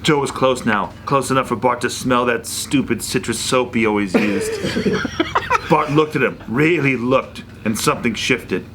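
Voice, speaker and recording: A man's voice: medium pitch, unhurried, over loud music. A man reading romance fan fiction aloud to friends in a mock-dramatic narrator's style, prone to break into laughter. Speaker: writer and panelist on a comedy show. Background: friends reacting and laughing.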